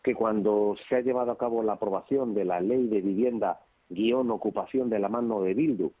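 A man's voice: lowish voice, fairly quick, narrow, tinny audio. A man from giving formal, rhetorical political commentary as a guest spokesman, stressing points in emphatic lists. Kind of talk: political commentary in a radio interview.

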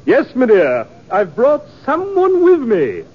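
A man's voice: very high voice, huge pitch swings, takes it slowly, husky, full character voice. A man playing a pompous theatrical actor-manager with florid, affected, grandly dramatic delivery in a 1950s radio drama. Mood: grandiose. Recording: muffled, noisy 1950s radio recording.

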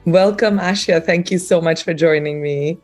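A woman's voice: lowish voice, moderate pace. Podcast host woman with faint music. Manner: friendly, many ums. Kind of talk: podcast interview.

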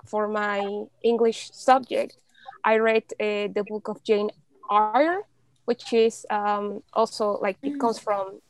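A young woman from Mexico in casual conversation, chatty, with many fillers and an animated, often laughing delivery. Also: a little high, dry recording, rough recording. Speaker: podcast guest.

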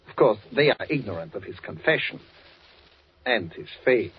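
An actor playing a smug, menacing Nazi German official in a 1940s radio drama, formal and insinuating, with deliberate small hesitations. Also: male voice, on the low side, sing-song, husky, full character voice. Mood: veiled menace. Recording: dull, faintly noisy 1940s radio recording.

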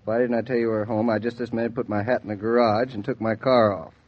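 An old, dull 1940s radio recording carries a man acting as a young newlywed husband. His voice is husky and mid-range. He teases and banters with good humor and mock grandeur. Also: mid-range voice, flat delivery, fast, close to an everyday voice. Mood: teasing.

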